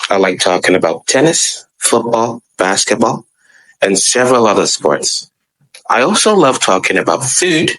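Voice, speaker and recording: A man with a Nigerian accent introduces himself as an English tutor with upbeat enthusiasm. His voice is fairly low, and it lifts as he gets excited. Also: rough recording. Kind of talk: scripted intro video.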